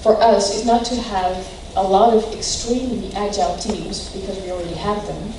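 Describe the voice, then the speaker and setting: A woman's voice: medium pitch, fairly even intonation, background noise. Lady hosting, introducing the speaker, lots of ums, far from the mic in a big hall. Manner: halting, many fillers. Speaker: event host introducing speaker. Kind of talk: speech introduction. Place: large hall.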